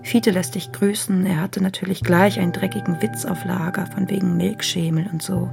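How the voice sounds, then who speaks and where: Low, creaky-voiced young letter reader. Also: female voice, steady tone, moderate pace. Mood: intimate, wry. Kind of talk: German radio drama, intimate letter reading. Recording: music clearly behind the voice.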